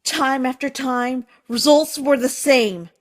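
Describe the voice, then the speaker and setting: A woman voicing a timid, weary human child in a dramatic comic dub, with a halting, emotional delivery. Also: high voice. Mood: despairing. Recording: voice over background music.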